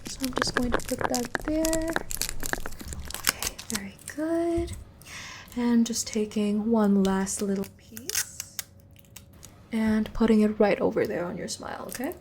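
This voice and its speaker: A woman playing a gently unsettling inhuman examiner in an ASMR horror roleplay, softly instructing and reassuring, drifting into whispers close to the microphone. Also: fairly high pitch, a little slow, background noise, close to an everyday voice. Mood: soothing, clinical. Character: gently unsettling female entity examiner preparing a human.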